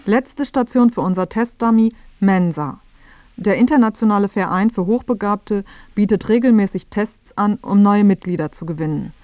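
A woman's voice: medium pitch, moderate pace, warm tone, lo-fi sound, slight background noise. A woman reading scripted narration for a radio feature.

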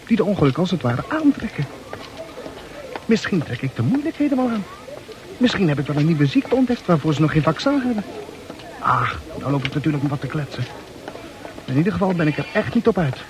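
A man's voice: fairly high pitch, very animated, brisk, background noise. An actor playing a nervous bachelor muttering his anxious thoughts as he walks through town in an old radio play. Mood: fretting. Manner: anxious inner monologue. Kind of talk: 1960s radio play acting.

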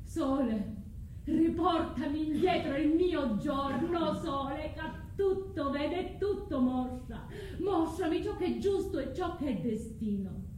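A woman's voice: high voice, expressive, takes it slowly, dark tone, a little hiss or hum. An actress playing Medea, a betrayed foreign wife and mother, proud and wounded, in an emphatic, declamatory theatrical monologue. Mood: pleading. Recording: roomy stage sound with music.

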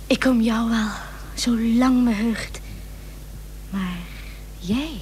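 An actress playing a tender, steadfast young sweetheart in a period radio drama, speaking softly, breathily and intimately. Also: female voice, a little high, extremely slow. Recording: noisy old radio recording with hum.

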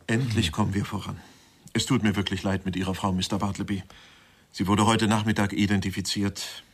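Husky, courteous police inspector. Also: male voice, fairly low pitch, level tone. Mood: courteous, businesslike. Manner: polite, measured, official. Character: courteous, businesslike male police inspector of Scotland Yard. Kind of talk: German radio crime drama acting.

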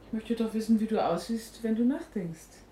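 An actress playing a suspicious, sharp-tongued middle-aged mother who interrogates sternly and sardonically in a radio play. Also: on the high side, normal speed, a little hiss or hum, close to an everyday voice. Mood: probing.

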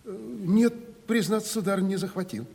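An actor in a radio play voicing a sly, obsequious provincial merchant with a folksy, deferential, wily manner. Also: male voice, sits high, a little fast, darkish tone. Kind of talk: classic Russian stage comedy radio acting. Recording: 1970s radio play, reverberant hall.

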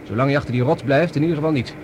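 Actor as bold pursuer, faint background noise. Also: male voice, medium pitch, rapid-fire, a little mellow, natural voice. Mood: determined. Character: bold, decisive armed pursuer in space adventure. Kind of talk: radio play acting.

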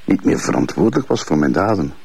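Very deep, gravelly Flemish actor as a defensive father. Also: sing-song, darkish tone, heavily acted. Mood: agitated, defensive. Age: middle-aged. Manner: halting, repetitive denials. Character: defensive, haughty bailiff father accused of abusing his daughter.

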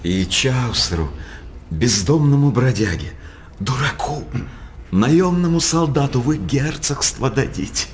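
A male actor plays a sardonic, flattering court confidant in a verse radio play. He exclaims and fawns in a middle-pitched voice. Noise is clearly audible behind him. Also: measured pace, natural voice. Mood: sly, flattering. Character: sly, flattering courtier, mocking confidant of a king. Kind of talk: theatrical Russian radio drama in verse. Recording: noisy background on radio drama recording.

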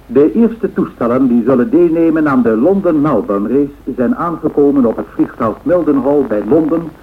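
An actor playing a formal male 1930s radio newsreader, reading bulletins in a measured way. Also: mid-range voice, expressive, natural voice. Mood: formal, grave. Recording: tinny, narrow-band old radio sound.

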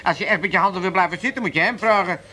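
A man's voice: high voice, very fast, pushed and bright. An actor playing a mocking, sceptical older father with an Amsterdam accent in a comic radio play, repeating words for effect.

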